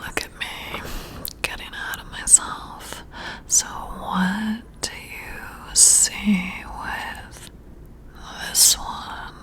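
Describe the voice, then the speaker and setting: A woman whispering up close in an ASMR roleplay as a flirty, intrigued boss, breathy and intimate with drawn-out pauses. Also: medium pitch, little pitch movement, sharp tone. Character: flirty, intrigued female boss giving a job interview. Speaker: ASMR performer role-playing a flirty new boss. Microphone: close-up ASMR microphone.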